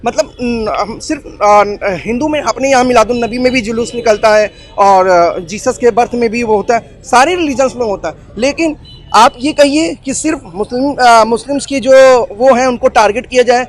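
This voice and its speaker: A man's voice: extremely high pitch, normal speed. A man giving a spontaneous, argumentative opinion in an interview, repeating himself and searching for words. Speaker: street interviewee. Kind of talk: spontaneous opinion, Hindi mixed with English.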